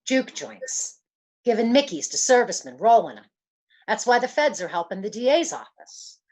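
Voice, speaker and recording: A woman's voice: fairly high pitch, very animated, normal speed, a little mellow. A woman playing a frightened young gangster's moll on the run, slangy and pleading, in an old-time radio detective play. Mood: scared, confessing.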